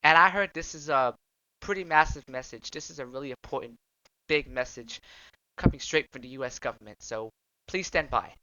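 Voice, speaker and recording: A man voicing a panicked news reporter in an amateur drama, agitated, repetitive and halting, on a dull, dry recording. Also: mid-range voice, bright, forceful tone, slight background noise. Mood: uneasy. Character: panicked male TV news reporter covering a vampire outbreak.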